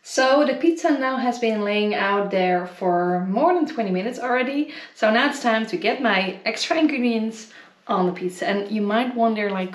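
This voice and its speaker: A young woman chatting casually in a home food-tasting vlog, giggly and self-deprecating, filmed at arm's length in her kitchen. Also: medium pitch. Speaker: vlogger. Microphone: camera at arm's length. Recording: echoey room.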